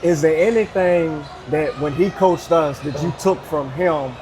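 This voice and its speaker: A man interviewing an old teammate, asking questions in a relaxed, familiar way. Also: on the high side, a little slow, background noise.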